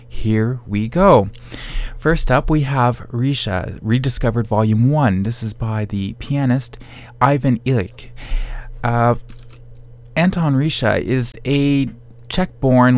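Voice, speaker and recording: A man's voice: mid-range voice, darkish tone. A man presenting a classical music programme as a radio host.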